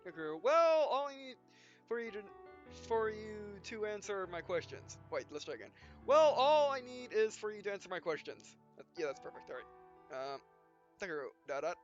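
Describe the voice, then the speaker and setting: A man doing a casual, halting read-aloud of a visual novel for a gameplay video, speaking punctuation and speaker tags as he goes. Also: high voice, big pitch swings. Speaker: let's player reading visual novel text aloud. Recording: dull, lo-fi sound with music behind. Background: game music.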